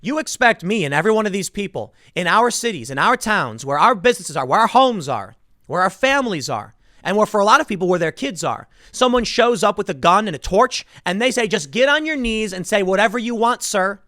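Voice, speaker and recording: A man's voice: high-pitched, lively intonation, a little fast, bright, forceful tone, no echo, studio quality. A man giving impassioned solo political commentary as a host, emphatic and indignant, repeating phrases for stress.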